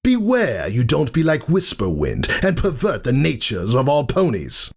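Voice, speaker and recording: A man performs a haughty pegasus warrior in a fantasy story, pitched well up from his own voice and swinging widely. He is menacing and scornful, declaiming in a husky rage. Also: wildly swooping pitch, measured pace, heavily acted. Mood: bitter, threatening. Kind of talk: dramatic fan fiction reading.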